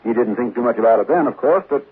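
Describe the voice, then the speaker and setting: A man's voice: medium pitch, animated, breathy edge. Courteous male sheriff on thin old radio. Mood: probing. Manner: polite, measured, hesitant. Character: courteous, probing small-town sheriff. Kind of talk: 1940s radio drama acting. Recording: old radio, narrow band, faint noise.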